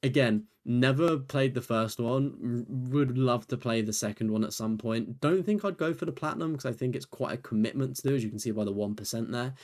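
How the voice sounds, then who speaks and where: A man's voice: medium pitch, brisk, few pauses, darkish tone. A young man, a gaming YouTuber, chatting casually through a trophy list while tired and losing his voice, rambling and self-deprecating. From United Kingdom. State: tired, voice nearly gone.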